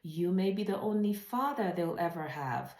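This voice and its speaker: A woman hosting an informal instructional webinar, speaking straight to viewers in an encouraging way and slowing down to stress key phrases. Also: fairly low pitch, animated, a little mellow. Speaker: host of a writing advice channel. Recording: roomy, echoey sound.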